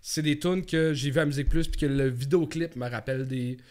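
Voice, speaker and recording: A Québécois man in his thirties chatting casually and telling stories in an animated way, repeating words for emphasis. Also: on the high side, brisk. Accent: Québécois French. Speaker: guest, stand-up comedian. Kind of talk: casual chat with storytelling.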